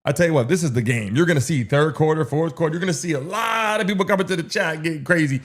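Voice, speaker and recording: A man's voice: fairly high pitch, quick pace. A man hosting a sports watch-along livestream, talking in a hyped, slangy, repetitive way as he urges the chat on. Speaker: livestream host.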